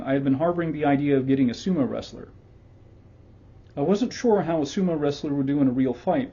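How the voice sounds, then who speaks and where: A man hosting a channel, reading a memoir excerpt in an even voice and then commenting casually with frequent fillers. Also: on the high side, husky. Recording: dull lo-fi sound.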